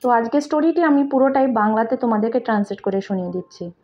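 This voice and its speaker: A woman with a Bengali accent reading a moral story aloud to children in simple, deliberate phrases, like a teacher. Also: fairly high pitch. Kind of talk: slow read-aloud moral story with translation. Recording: dull lo-fi home recording.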